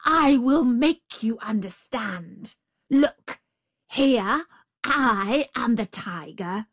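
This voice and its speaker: A woman's voice: on the high side, sing-song, extremely slow, pitched above the performer's own voice, full character voice. A woman voicing a savage male tiger in a storybook, growling, bullying and domineering. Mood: exasperated rage. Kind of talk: storybook character voice.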